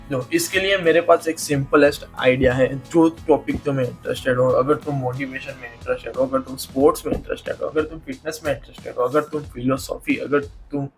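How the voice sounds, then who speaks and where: A young man with an Indian accent talks straight to camera in a casual vlog. His English comes slowly and haltingly, while his Hindi stretches run quick and chatty, in a slightly raised voice. Faint guitar music plays underneath. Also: on the high side. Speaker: YouTube creator teaching spoken English.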